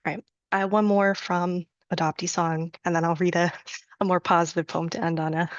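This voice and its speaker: A woman reading her own poetry aloud at a live reading, in a measured, deliberate recitation with rhythmic repetition and soft, low-key asides between poems. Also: little pitch movement, no room sound. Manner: slows and chants the Latin and prayer lines. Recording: dull, dry lo-fi recording.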